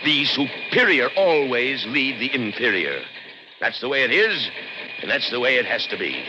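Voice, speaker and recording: An actor playing a proud, coldly rational middle-aged inventor in a radio drama, lecturing emphatically. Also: male voice, medium pitch, big pitch swings, normal speed, heavy background noise, lightly acted. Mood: imperious. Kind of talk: 1960s radio drama acting. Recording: dull old radio broadcast recording.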